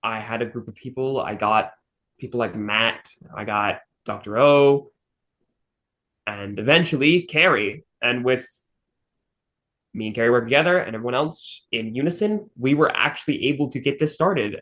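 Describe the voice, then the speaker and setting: A man with a very bright, forward voice chats casually as a podcast host. He speaks in an earnest, emphatic way at a medium pitch. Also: moderate pace, long pauses. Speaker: fandub director and podcast host. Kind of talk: casual chat.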